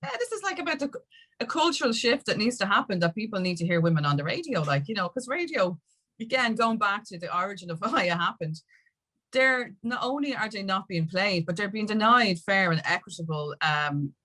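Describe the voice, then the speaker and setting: A woman's voice: medium pitch, big pitch swings, a little fast, bright, forceful tone. A middle-aged Irish woman chatting candidly as an interview guest, telling her story in an emphatic, rambling way and acting out quotes. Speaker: guest, music industry campaigner.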